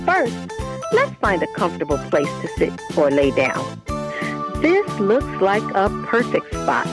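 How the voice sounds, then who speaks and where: A woman's voice: mid-range voice, wildly swooping pitch, a little slow, over music. A woman voicing a curious, adventurous young girl in a children's storybook, speaking expressively and directly to young listeners. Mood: calm, soothing. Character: curious, adventurous young girl narrating her mindfulness quest. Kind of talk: children's storybook read-aloud.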